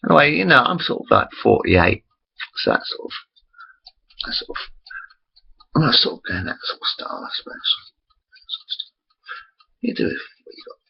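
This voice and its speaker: A man's voice: fairly high pitch, huge pitch swings, normal speed, gravelly, long pauses, husky, highs cut off. A man in his forties rambling chattily to his webcam, interrupting himself often. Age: 40s. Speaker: vlogger. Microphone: built-in computer camera mic.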